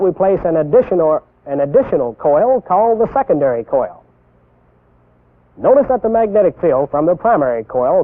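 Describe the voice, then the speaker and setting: A young American man giving scripted instructional narration as a military instructor, deliberate and didactic, posing rhetorical questions. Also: fairly high pitch. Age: young adult. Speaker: military instructor presenting a training film. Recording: tinny band-limited 1960s film soundtrack.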